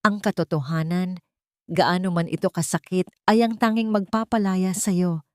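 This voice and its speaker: A woman playing a gentle, caring older mother in an audio drama, with a calm and measured manner. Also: fairly low pitch, clean tone, no echo, studio quality, close to an everyday voice. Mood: reassuring. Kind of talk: Tagalog audio drama acting.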